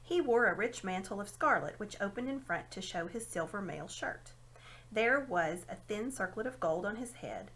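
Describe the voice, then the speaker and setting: Lively woman reading a storybook aloud. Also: medium pitch, moderate pace. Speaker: storybook reader. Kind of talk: expressive read-aloud narration of a children's fantasy novel.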